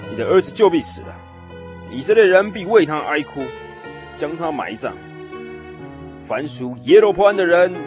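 An actor playing an aged, stern blind prophet who pronounces doom solemnly in a radio drama. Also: male voice, on the high side. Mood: grave. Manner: solemn, declamatory. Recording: music behind the voice.

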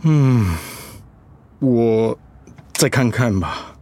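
A man's voice: mid-range voice, animated, extremely slow, warm tone. An actor playing a caring, fretful middle-aged husband and father in a radio drama. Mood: reluctant, grumbling.

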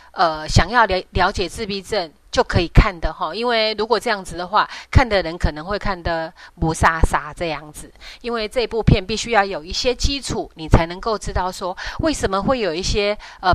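A woman hosts a talk podcast in Taiwanese Mandarin. Her pitch is middling and the sound is dry, with no room to it. She explains things step by step in an informal way, often closing phrases with soft particles. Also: no echo. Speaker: radio show host.

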